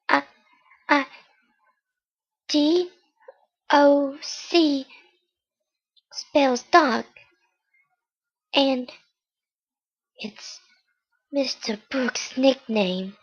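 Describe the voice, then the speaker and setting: A woman playing a polite young lady in an old-fashioned radio mystery, with measured, deliberate delivery. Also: high voice, full character voice. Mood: hesitant, shaken. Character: polite young woman visitor, secretly a murderer. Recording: dull, lo-fi amateur radio-drama recording.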